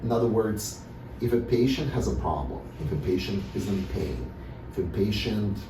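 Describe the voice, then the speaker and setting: A man from Russia speaks in a very deep voice, answering questions in a halting way with many "you know"s and restarts. He sounds set back from the mic in an echoey room. Steady background noise runs under his voice. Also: recorded from a distance. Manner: hesitant, many fillers and restarts. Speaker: dentist, interview guest. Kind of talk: casual interview answers.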